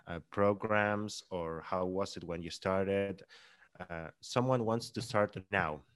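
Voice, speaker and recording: Low-voiced male host, rambling questions. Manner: rambling, circling questions with fillers and laughs. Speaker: podcast host. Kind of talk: interview questions.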